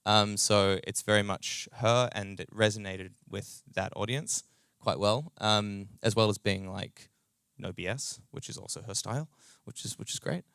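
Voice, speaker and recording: A man with a New Zealand accent speaks in a fairly low, smooth voice that is captured very dry and close. He presents informally as a company co-founder, in a loose, slangy way with plenty of hedging. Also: fairly bright tone, no echo. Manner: casual, halting, slangy, hedging with "like" and "sort of". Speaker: startup co-founder, tech and growth executive. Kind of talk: informal conference talk.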